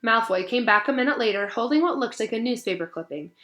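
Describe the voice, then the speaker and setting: A woman teacher speaking to children in an encouraging, affectionate way, in the expressive style of a storybook read-aloud. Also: a little high, fast. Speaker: teacher reading aloud to pupils.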